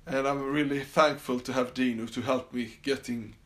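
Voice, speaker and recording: Swedish-accented male podcast host, halting and filler-heavy, average pitch. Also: fairly even intonation. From Sweden. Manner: halting, many fillers and restarts. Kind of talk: casual solo podcast monologue. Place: summer house.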